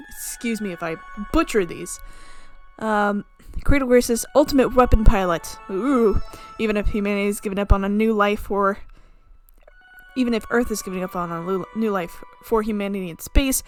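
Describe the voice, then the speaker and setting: A woman streaming a playthrough, giggly and excitable, reading game text aloud and reacting casually as she goes. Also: mid-range voice. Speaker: gaming streamer playing a visual novel.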